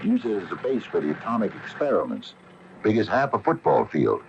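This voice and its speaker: An actor playing an uneasy, baffled small-town store owner in a radio drama, stammering when rattled. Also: male voice, on the low side, huge pitch swings, brisk, vocal fry, heavily acted. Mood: puzzled, intrigued. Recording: muffled, noisy 1980 radio broadcast tape.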